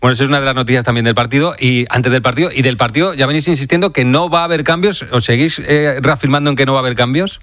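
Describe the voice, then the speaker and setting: A male radio host who talks fast and runs the discussion, pressing his panel with questions. The sound is dry and close, with no room around it. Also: mid-range voice, a bit bright, no echo. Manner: brisk, leads the panel with questions. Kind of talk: live radio sports chat.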